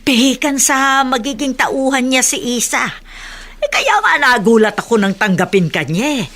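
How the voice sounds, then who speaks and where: A woman's voice: sits high, lively intonation, moderate pace, a bit bright. An actress playing a kindly middle-aged housekeeper in a Tagalog radio drama, motherly and deferential yet probing, sometimes hesitant. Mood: reassuring.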